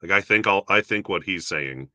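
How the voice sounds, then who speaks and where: A young man with a deep voice casually rambling about awards results, self-deprecating and chuckling now and then. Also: moderate pace, sharp tone, no room sound. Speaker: YouTube film awards commentator. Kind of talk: casual solo commentary.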